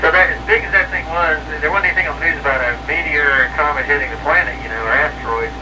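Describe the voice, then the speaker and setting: A man's voice: on the high side, racing. A man chatting casually and telling stories over CB radio in a rambling way, repeating and correcting himself. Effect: CB radio transmission. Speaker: hobby CB radio operator. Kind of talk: casual CB radio chat and storytelling. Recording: distant, noisy CB radio transmission.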